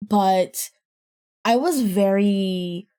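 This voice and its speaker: A young woman with a deeper voice, a podcast guest telling stories in a casual, rambling chat full of laughs and swearing. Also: drawn-out words, mellow, clean tone.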